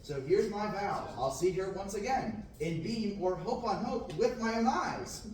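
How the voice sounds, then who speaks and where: A man's voice: sits high, expressive, a little slow, a little mellow. A young man reading an eager, dreamy young farm boy in archaic verse, earnest with bursts of theatrical exclamation. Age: young adult. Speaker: table-read actor. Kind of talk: amateur Shakespearean-verse table reading. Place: large classroom indoors. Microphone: far camera. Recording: very echoey room, far-off mic, faint noise.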